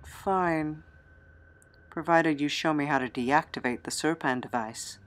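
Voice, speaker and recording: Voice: medium pitch, measured pace, fairly bright tone, natural voice. An actor playing a sardonic, embittered immortal with a fractured memory in an audio drama, flippant and wry in manner. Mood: cold, flippant. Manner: flippant, wry, breathy asides and chuckles.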